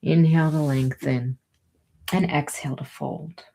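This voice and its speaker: A woman teaching yoga and breathing, giving gentle, soothing, unhurried encouragement as she guides each movement. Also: low-pitched, fairly even intonation, rough recording.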